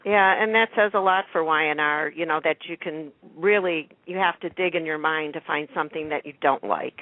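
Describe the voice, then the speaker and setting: A woman hosting a radio show, chatting casually in an expressive, exclamatory way. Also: low-pitched, brisk, no room sound. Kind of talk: casual chat. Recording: dry, muffled phone-like line.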